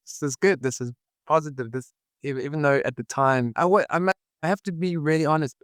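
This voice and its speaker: A man's voice: fairly high pitch, brisk, no echo, studio quality. A South African man with a South African accent, talking reflectively as a podcast guest and often searching for his words. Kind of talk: reflective conversational interview.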